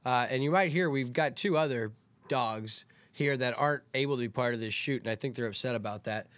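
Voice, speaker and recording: A man's voice: mid-range voice, a little fast, a bit bright, smooth, no echo. A man hosting a story time, talking casually and reading a children's picture book aloud.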